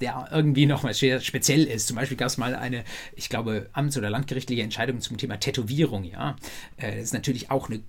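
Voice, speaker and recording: Man, university lecturer, medium-pitched voice, colloquial, explanatory, freely spoken law lecture. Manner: colloquial, explanatory, addresses students directly.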